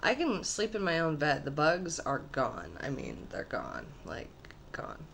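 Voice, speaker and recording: A woman with a low, slightly husky and nasal voice chats to her camera at home. She rambles from one thought to the next and often leaves sentences unfinished, with a faint hiss in the background. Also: huge pitch swings, a little slow, a bit bright. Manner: rambling, trailing off mid-thought. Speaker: vlogger. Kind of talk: casual rambling vlog. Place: her own apartment.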